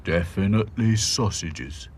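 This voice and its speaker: A man voicing a gloomy, slow, matter-of-fact male rag doll. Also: low voice, expressive, darkish tone, a little hiss or hum.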